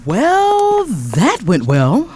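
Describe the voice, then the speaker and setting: Blunt, controlling sister with animated pitch woman acting. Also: drawn-out words, heavy background noise, heavily acted. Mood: dry. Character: blunt, controlling sister pushing business over romance. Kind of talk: audio drama acting.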